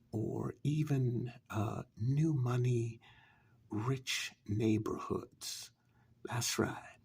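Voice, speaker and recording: Husky, soft-spoken sleazy pitchman, played by a man. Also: full character voice. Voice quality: husky, breathy, gravelly. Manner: halting, smug, casually menacing, sweary. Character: sleazy, sinister get-rich-quick program pitchman. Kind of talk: soft-spoken ASMR parody infomercial.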